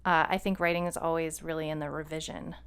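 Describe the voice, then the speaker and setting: A woman with a smooth, clean voice talks as a podcast co-host. She is informal and encouraging, like a writer handing out advice in easy conversation. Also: low-pitched, level tone, quick pace. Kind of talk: casual conversational podcast talk.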